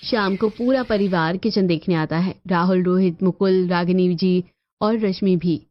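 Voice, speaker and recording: A woman's voice: fairly low pitch, unhurried, dry recording. A woman reading scripted narration for a cartoon family story. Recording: dull lo-fi audio with music behind.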